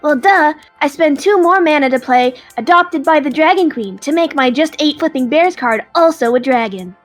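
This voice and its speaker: A woman's voice: high voice, normal speed. A woman voicing a smug teasing dragon. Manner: smug, teasing, deadpan quips. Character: smug playful female dragon card player. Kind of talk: audio drama acting. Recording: faint music behind.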